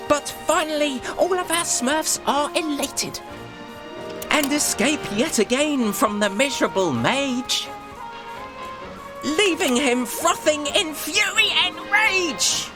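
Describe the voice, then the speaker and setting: A proud tiny cartoon poet, slow swoopy storybook rhymes, music behind. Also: female voice, sits high, heavily acted. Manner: grandly reciting, sing-song. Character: small cheerful cartoon creature, self-pleased rhyming poet. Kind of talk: rhymed storybook narration in game. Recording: voice under game music.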